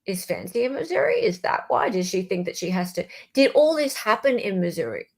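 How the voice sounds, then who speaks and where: A woman's voice: mid-range voice, big pitch swings, brisk. A woman hosting a casual live legal commentary chat, talking informally and directly to her viewers. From Australia. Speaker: livestream host.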